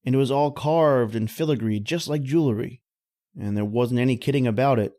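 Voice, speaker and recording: An easygoing oil rig roughneck acted by a man who talks plainly and colloquially. He tells his tale in a folksy way, in the style of old-time radio horror, at a middling male pitch. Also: brisk, warm tone, lightly acted. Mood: storytelling.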